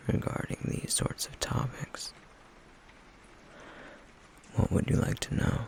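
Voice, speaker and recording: A man playing a stoic, guarded flame demon in an ASMR roleplay, in a breathy, gravelly near-whisper with halting, measured pauses. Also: slight background noise, close to an everyday voice. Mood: wary, quietly curious.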